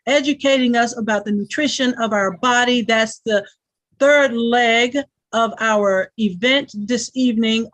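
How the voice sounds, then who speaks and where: A woman's voice: a little high, a bit bright. A woman hosting a community forum as mayor, speaking in a gracious, motivational way.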